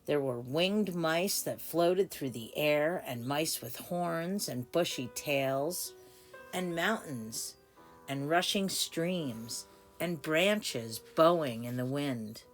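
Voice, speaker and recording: A woman reading a children's storybook aloud with deliberate, dramatic phrasing for small children. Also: sits low, animated, soft background music. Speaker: story time reader. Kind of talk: expressive children's storybook narration.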